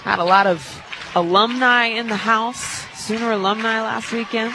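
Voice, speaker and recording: A woman giving live radio analysis of a softball game as the color commentator. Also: fairly high pitch, steady tone, normal speed, background noise. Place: outdoor softball stadium radio booth.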